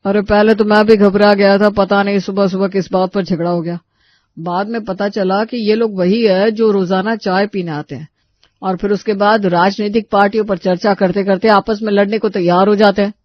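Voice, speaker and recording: A woman's voice: mid-range voice, fairly even intonation, moderate pace, no echo, lightly acted. Actress as easily alarmed young man, exasperated story dialogue, close lo-fi sound. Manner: exasperated, explanatory.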